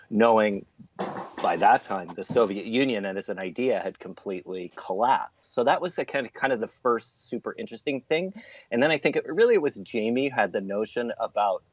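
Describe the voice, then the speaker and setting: A man being interviewed as a theatre artist, talking in an earnest, discursive way with emphatic repetition. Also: on the low side. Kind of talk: thoughtful interview talk. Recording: dull, roomy, lo-fi sound.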